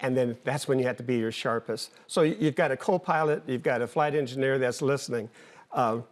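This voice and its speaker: Plain-spoken older man explaining haltingly. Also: medium pitch. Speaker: interview guest, former air traffic controller and pilot. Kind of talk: conversational explanation.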